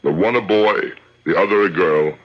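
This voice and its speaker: An actor playing a solemn, commanding rabbi in a radio drama, declaiming in a measured, theatrical way. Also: male voice, sits low. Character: solemn, commanding rabbi judge conducting a tribunal and exorcism. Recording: dull lo-fi old radio recording.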